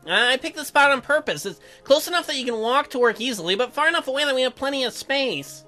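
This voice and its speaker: A man's voice: very high-pitched, animated, fast talker, sharp tone, soft background music, voice pushed up, full character voice. A man voicing an eager young newlywed colonist wife in a visual novel, with a chirpy, exaggerated delivery. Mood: earnest. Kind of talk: visual novel read-aloud.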